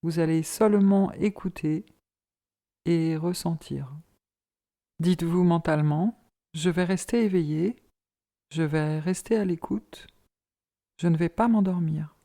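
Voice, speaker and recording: A woman leading a guided yoga relaxation as an instructor, in a calm, measured, soothing manner. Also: fairly low pitch.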